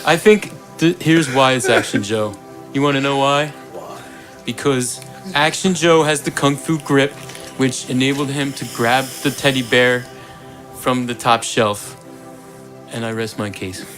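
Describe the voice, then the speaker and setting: A man's voice: medium pitch, measured pace, a bit bright, faint music behind, lightly acted. A man voicing a blunt, judgmental, aggrieved toy who argues a case in improvised comedy.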